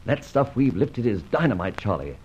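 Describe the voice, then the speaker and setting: An actor playing a suave, cool-headed gentleman adventurer and safecracker in an old radio serial, speaking in a debonair, unhurried way. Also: lowish voice, sing-song. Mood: commanding. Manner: debonair, unhurried, old-fashioned British-style exclamations. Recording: muffled, hissy vintage radio recording.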